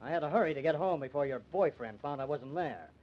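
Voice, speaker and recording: An actor with an American accent playing a cool, cunning small-town lawyer with a killer's streak in an old-time radio drama. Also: male voice, on the high side, animated, quick pace. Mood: desperate, gloating. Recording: dull old radio recording.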